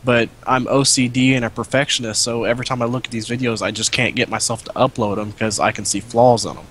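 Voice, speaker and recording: A man's voice: mid-range voice, steady tone, fast, a bit bright, a little hiss or hum. A man talking casually as a guest on a gaming live stream, explaining things in a plain, matter-of-fact way. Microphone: cheap headset.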